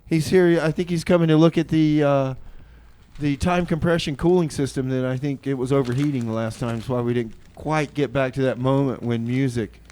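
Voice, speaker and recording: A man hosting a community radio music show with casual, rambling, tongue-in-cheek chat, often halting and repeating his words. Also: medium pitch, fairly even intonation, dark tone.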